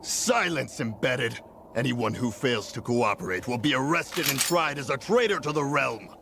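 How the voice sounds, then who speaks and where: A man's voice: medium pitch, animated, moderate pace, gravelly, noisy background. A man playing a haughty, menacing law-enforcing agent in a video game cutscene, barking orders imperiously. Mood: stern, threatening. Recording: music behind the voice.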